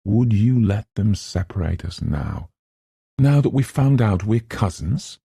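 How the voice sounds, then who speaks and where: A man's voice: fairly low pitch, lively intonation, a little slow, gravelly, mellow, husky, no echo, studio quality, voice pushed up, exaggerated voice. A man voicing a playful, teasing young woman with a chatty, whimsical manner in an audiobook. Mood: reassuring.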